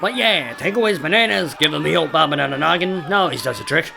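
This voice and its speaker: A man's voice: a little high, lively intonation, fast talker, creaky, sharp tone, soft background music, full character voice. A man voicing a grumpy, exasperated elderly ape father in a comic audio play, blustering and scolding. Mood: dismissive, excitable.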